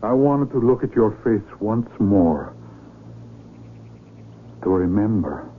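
An actor playing an anguished, tender mountaineer in a radio drama, speaking earnestly and poetically. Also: male voice, lowish voice, very animated. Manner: earnest, poetic, slow and deliberate. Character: anguished sighted mountaineer, tender lover facing blinding. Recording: narrow, noisy old AM radio recording.

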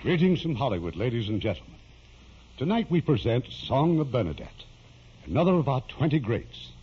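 A man delivering formal, measured scripted narration as the host of an old radio drama. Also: mid-range voice, sing-song, noisy background. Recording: dull old radio broadcast with music.

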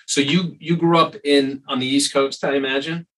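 A man's voice: a little high, steady tone, normal speed, fairly bright tone, low-quality recording. A man who hosts the podcast and is a surgeon, chatting casually and telling stories about his past. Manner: chatty, storytelling asides. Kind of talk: casual interview chat.

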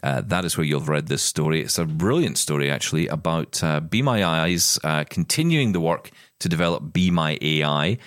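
A man's voice: extremely low pitch, lively intonation, normal speed, hardly any pauses, fairly bright tone, no echo, clean studio sound. A Scottish man co-hosting a tech podcast, chatty and joking with plenty of fillers. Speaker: podcast co-host. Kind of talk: casual tech podcast chat.

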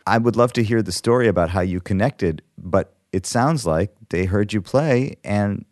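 A man's voice: fairly low pitch, moderate pace, a little mellow, dry recording, clean studio sound. A man hosting a thoughtful podcast interview, reflecting ideas back in considered, rephrased sentences.

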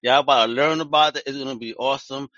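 A man's voice: medium pitch, steady tone, quick pace, pushed and bright, no room sound. A man hosting a podcast interview in a slangy, hyped-up way and laughing often. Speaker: podcast host. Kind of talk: casual interview chat. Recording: dull, lo-fi audio.

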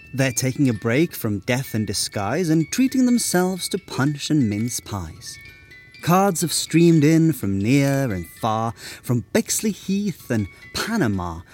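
An actor playing a genial storybook narrator, telling a tale in scripted rhyme. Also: male voice, mid-range voice, darkish tone.

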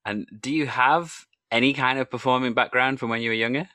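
Relaxed Welsh-born host, dry sound. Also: male voice, mid-range voice, brisk, dry recording. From Wales. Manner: relaxed, encouraging, asks open questions. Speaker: podcast host and primary school teacher. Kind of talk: casual interview questions.

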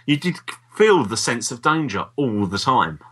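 A man's voice: medium pitch, sing-song, a little slow, sharp tone, slightly rough. A man talking casually as co-host of a review podcast. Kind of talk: casual review chat about audio dramas.

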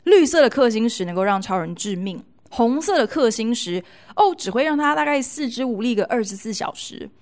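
Expressive Taiwanese Mandarin woman podcast host. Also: fairly high pitch, fairly quick. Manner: expressive, playful emphasis with colloquial sentence-ending particles. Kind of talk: chatty storytelling monologue to listeners.

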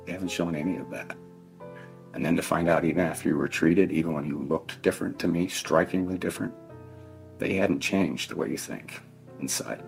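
A middle-aged man gives a victim impact statement as a bereaved father, speaking in a deliberate, stern, emphatic way with heavy pauses. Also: extremely low pitch, vocal fry. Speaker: bereaved father addressing his child's killer. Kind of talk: victim impact statement addressed to one person.

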